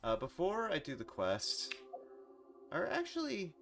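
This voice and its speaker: A man's voice: on the high side, wildly swooping pitch, unhurried, creaky, music in the background. A man casually commentating on a game as he plays live, rambling and thinking aloud. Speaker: game streamer.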